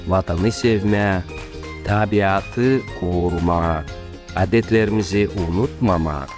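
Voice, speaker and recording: A man with a Gagauz accent giving scripted narration and reciting a poem in a slow, measured way. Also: low-pitched, music in the background. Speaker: promo narrator.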